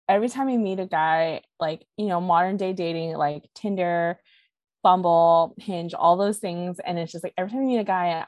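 A woman's voice: on the low side, a little mellow, no echo. A woman chatting casually as a podcast guest, informal and playful with slangy phrasing. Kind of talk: casual chat.

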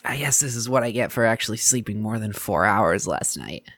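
An actress playing a sardonic, reluctant young student hosting a news show in an audio drama, rambling and exasperated. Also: female voice, rumbling low, animated, brisk, fairly bright tone, no echo, full character voice. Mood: weary, dismissive. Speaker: podcast news host character.

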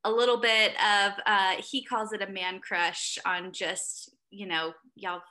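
A woman's voice: medium pitch, level tone, slow, pushed and bright. A woman pastor hosting a casual church conversation, upbeat and chatty with many fillers. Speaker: associate director and pastor, host.

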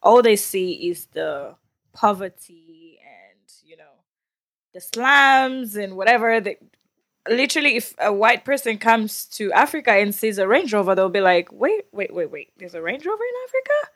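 A woman's voice: medium pitch, sing-song, normal speed, a bit bright, no room sound, studio quality. A woman from Tanzania, a guest on a casual podcast, giving blunt, emphatic opinions.

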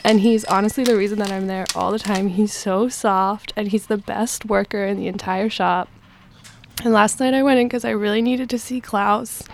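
A woman's voice: medium pitch, level tone, moderate pace. A woman casually telling a personal anecdote in a gushing, affectionate way. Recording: clear background noise.

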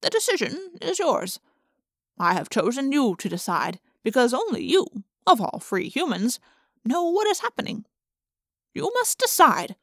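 A woman voicing an aloof, all-powerful alien in an audiobook, making calm, formal, measured pronouncements. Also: high-pitched, no echo, studio quality, heavily acted. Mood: solemn.

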